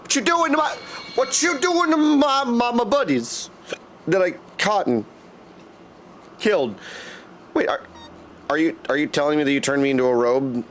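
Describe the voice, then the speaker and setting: Male streamer, high voice, very animated intonation, friendly casual chat, gameplay livestream. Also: normal speed, long pauses, slight background noise. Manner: friendly, chatty, greets viewers by name. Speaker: game streamer. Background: music.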